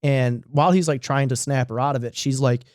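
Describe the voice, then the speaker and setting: A man's voice: mid-range voice, steady tone, brisk, a little mellow, no echo, studio quality. A man hosting a podcast, retelling a story casually with plenty of slang and swearing, acting out the dialogue. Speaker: podcast host retelling a horror series. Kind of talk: casual comedic retelling with loose acted-out dialogue.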